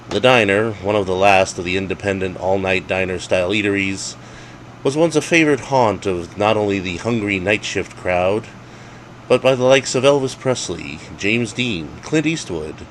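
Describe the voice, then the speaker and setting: Deep-voiced man narrating a wry ghost story. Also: a bit bright, slight background noise, poor audio. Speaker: author reading own story.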